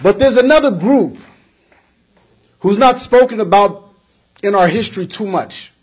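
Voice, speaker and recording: A young man raised in the United States delivers a passionate political speech into a podium microphone. His voice sits high and carries a husky edge. He leans on repetition and rhetorical questions to press his argument. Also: lively intonation, measured pace, rough recording. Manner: emphatic, repeats points for effect, gestures while speaking. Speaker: speaker at a community event. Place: indoor hall with flags behind. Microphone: podium microphone on a stand.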